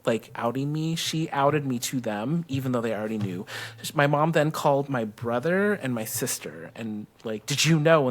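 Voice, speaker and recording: A middle-aged man with an American accent, telling personal stories conversationally in an animated manner. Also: mid-range voice. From South Texas, USA. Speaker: guest co-host.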